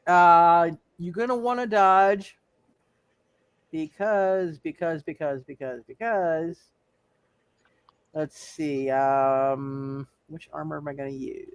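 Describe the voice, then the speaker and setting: High-voiced, halting male game master. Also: smooth, dry recording. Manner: halting, repeats words while thinking aloud. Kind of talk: running a live tabletop superhero roleplay game.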